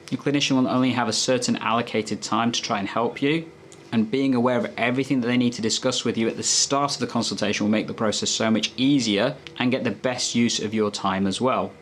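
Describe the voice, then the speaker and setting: A man's voice: mid-range voice, a little hiss or hum. A man presenting health tips as a doctor, explaining in a conversational, instructive way to viewers.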